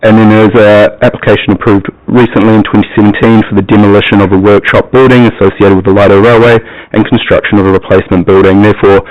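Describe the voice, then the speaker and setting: A man with a New Zealand accent presenting a planning application to a council committee, pausing often with ums as he explains. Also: on the low side, level tone. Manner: hesitant, many ums, plain explanatory. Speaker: planning officer. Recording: muffled, lo-fi broadcast audio.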